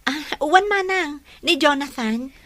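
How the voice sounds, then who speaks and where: A woman's voice: sits high, expressive, a little slow, fairly bright tone, no room sound. A woman with an Ilocano Filipino accent playing a sweet, trusting young girlfriend with a jealous streak in a radio drama, speaking earnestly and emotively. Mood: reassuring.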